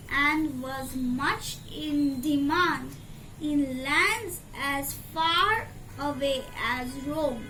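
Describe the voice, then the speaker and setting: A woman reading a children's story aloud in a deliberate, phrase-by-phrase storytelling delivery. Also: sits high, a bit bright. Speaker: storybook reader. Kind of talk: scripted storybook narration for children. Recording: clearly noisy amateur recording.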